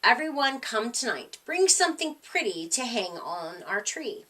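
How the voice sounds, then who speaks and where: Lady reading as a friendly boy frog in a storybook, bright voice, takes his time. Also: a little high, very animated, a little slow, full character voice. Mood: curious. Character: friendly, reassuring, curious male frog.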